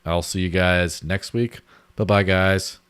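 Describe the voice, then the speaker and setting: A man's voice: low-pitched, steady tone, a little slow, a bit bright, clean tone. A man hosting a casual podcast, rambling and joking and laughing at his own jokes. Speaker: podcast host. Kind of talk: casual chat.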